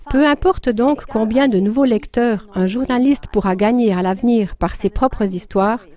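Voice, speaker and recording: A woman's voice: a little high, warm tone. A woman reading a scripted news commentary as a voice-over, in an earnest, emphatic tone.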